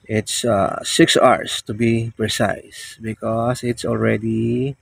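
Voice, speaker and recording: Slow-talking Filipino man, slightly husky. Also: on the low side, flat delivery. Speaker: product reviewer. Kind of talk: casual vlog product review. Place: open-air beach hut at night, later inside a tent.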